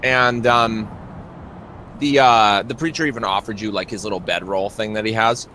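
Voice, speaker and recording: Game master: casual man, lowish pitch, halting improvised narration, faint background noise. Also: steady tone, bright, forceful tone, poor audio.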